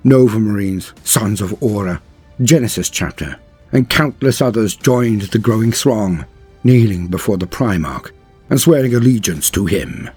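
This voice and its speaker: A man with a low, husky voice delivers scripted lore as a dramatic narrator. His storytelling is solemn and grand, shaped like an epic chronicle. Faint music plays beneath his words. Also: lively intonation, unhurried, darkish tone. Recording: music under the voice. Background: background music.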